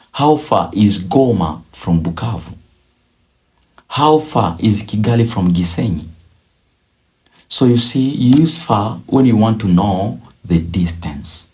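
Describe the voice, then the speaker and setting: A man teaching a beginner language lesson, drilling each phrase slowly and repeating it several times. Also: fairly low pitch, a little mellow. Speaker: English teacher.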